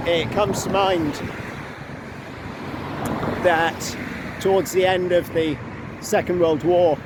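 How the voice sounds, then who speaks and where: A man walking through a city, narrating a travel vlog in a chatty, unhurried way with asides. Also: on the high side. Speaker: travel vlogger. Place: outdoors on city streets by a river. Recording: noisy outdoor street recording. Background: loud traffic and city noise.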